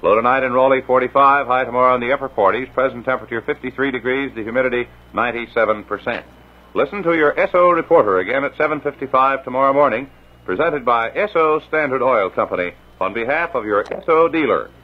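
A man reading news bulletins with measured, formal delivery at a middle pitch. His voice is slightly husky, and the old radio transcription sounds dull and muffled. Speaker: newscaster.

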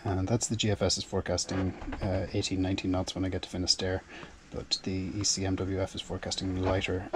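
A man with a low, level voice talks through his plans in a relaxed vlog style, working things out aloud and often doubling back on words. He records aboard a small boat, and a steady layer of noise sits behind him. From Ireland. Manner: methodical, thinking aloud, repeats words. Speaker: solo sailor vlogger. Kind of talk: casual explanatory vlog narration. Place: small boat cabin and engine bay.

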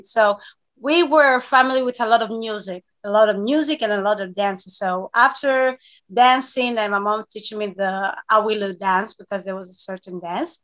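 A young woman from Greece, a singer-songwriter guest, talking casually and expressively with frequent laughs over a remote video call. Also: mid-range voice. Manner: bubbly, laughs often, expressive. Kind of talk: casual interview. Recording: slightly dull lo-fi video call.

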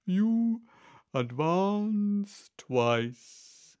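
A man voicing a melodramatic, tearful turtle creature from a storybook, with drawn-out, emotional delivery. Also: sits high, big pitch swings, a little mellow, clean tone, pitched above the performer's own voice, full character voice. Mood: excited. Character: melodramatic, tearful old Mock Turtle, excitable and wistful. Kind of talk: storybook character reading.

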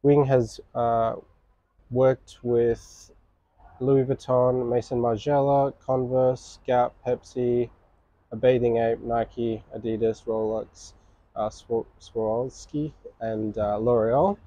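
Young male interviewer; warm low tone, dry and slightly muffled recording, steady read with filler words. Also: slow, dry recording. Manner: reads intro with fillers, laughs lightly. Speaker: interviewer host. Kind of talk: reading guest introduction, then interviewing. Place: outdoor balcony terrace.

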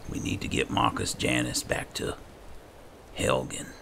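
A man giving roleplay gameplay commentary, muttering and thinking aloud in a gravelly voice. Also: very deep voice, fairly even intonation, a little slow, fairly bright tone. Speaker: gaming channel host. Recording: clear background game noise.

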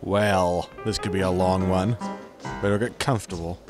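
A man's voice: sits low, fairly even intonation, normal speed, over music, natural voice. A man playing a sarcastic, casual, grumbling spaceship captain in a sci-fi comedy audio drama. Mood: wry. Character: flippant, grumbling male starship captain.

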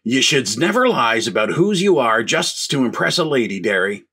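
An actor playing a good-natured, earnest hick who adds extra s sounds to words in a comedy script reading. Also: male voice, mid-range voice, very animated, normal speed, bright, forceful tone, slightly rough, heavily acted. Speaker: actor in a script table read.

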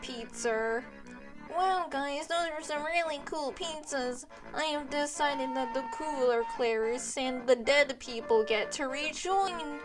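A young woman doing casual, comedic character dialogue for an amateur animation, with a slangy, silly, halting delivery. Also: high-pitched, music in the background, low-quality recording. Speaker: creator and voice actor of an animated web series.

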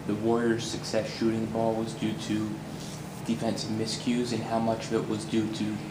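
A man's voice: lowish voice, completely flat delivery, normal speed. A male reporter asking questions at a press conference. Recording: echoey room, distant, loud noise.

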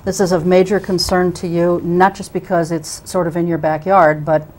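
Woman, middle-aged, talk show host, lowish voice, halting with fillers, lapel mic in a small studio. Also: little pitch movement, a little mellow. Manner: hesitant, many ums and restarts. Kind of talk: local cable talk show. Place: small TV studio with curtain backdrop.

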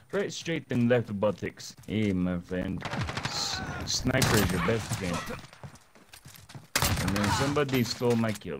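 A man's voice: medium pitch, close to an everyday voice. A man putting on a fake Russian accent as a boastful gamer who talks about himself in the third person, in broken English, over in-game voice chat. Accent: put-on Russian. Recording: game noise and gunfire behind.

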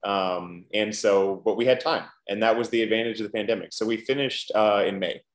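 Halting low-voiced man, echoey room. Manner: halting, many restarts and fillers. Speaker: film director. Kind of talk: video call interview. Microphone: video call.

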